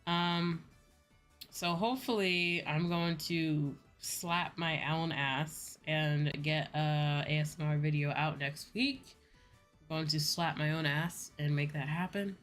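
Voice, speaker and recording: Low voiced girl streamer just rambling to chat, super casual, swears a bit, faint music in back. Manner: chatty, rambling, heavy use of like, casual swearing. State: sleepy. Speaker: VTuber livestreamer; school teacher. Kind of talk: casual livestream chat to viewers. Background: music behind the voice.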